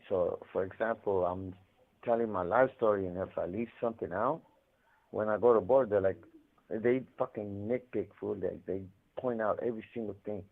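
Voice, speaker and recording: A man telling stories casually over a muffled phone line, rambling in slangy, profanity-heavy talk. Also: fairly low pitch. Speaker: incarcerated caller telling his life story. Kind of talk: casual storytelling over a prison phone call. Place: prison, on a phone.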